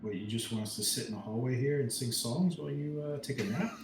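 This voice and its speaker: A man's voice: mid-range voice, quick pace, darkish tone, a little hiss or hum. A man taking part in a tabletop roleplaying game with casual table talk, recorded in an echoey room.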